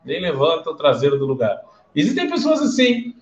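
A man's voice: on the high side, wildly swooping pitch, a little fast, muffled recording. Chatty Brazilian man teaching in an echoey room. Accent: Brazilian Portuguese. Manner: chatty storyteller, questions the listeners. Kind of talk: casual live-streamed class.

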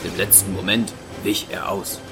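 An actor as the sober narrator of an adventure audio drama, reading scripted narration. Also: male voice, deep voice, fairly even intonation, moderate pace, loud music behind the voice, close to an everyday voice. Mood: sober, suspenseful.

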